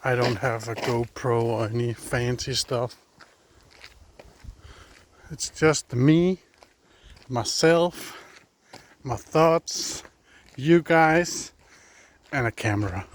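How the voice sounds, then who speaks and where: Slow-talking Danish man on a forest walk. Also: medium pitch. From Denmark. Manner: unhurried, thinking aloud, pausing and searching for words. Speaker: vlogger. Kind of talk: casual walking vlog. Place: outdoors on a forest trail. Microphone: handheld phone. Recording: outdoor phone recording with clear background noise.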